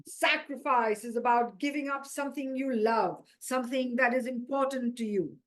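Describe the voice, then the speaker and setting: A woman voicing a grave male mullah preaching in a children's story, with deliberate, emphatic oratory. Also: a little high, natural voice. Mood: solemn, preaching.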